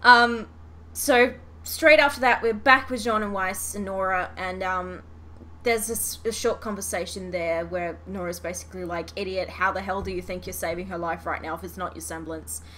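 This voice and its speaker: A woman's voice: mid-range voice, fairly even intonation, moderate pace, pushed and bright, slight background noise. A woman hosting a podcast, chatting casually and expressively about a show, with some swearing. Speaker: podcast host. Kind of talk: casual fan chat reviewing a show.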